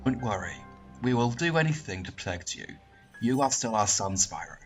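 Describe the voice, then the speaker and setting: Solemn dragonfly dad, a guy voicing him, lower voice, grave and measured. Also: sing-song, slightly rough, faint music behind.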